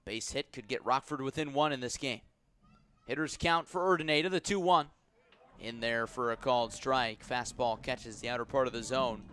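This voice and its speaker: A man calling a baseball game live as a radio play-by-play announcer, with measured, descriptive delivery that lifts when the action picks up. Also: mid-range voice, a bit bright, a little hiss or hum. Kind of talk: live sports commentary.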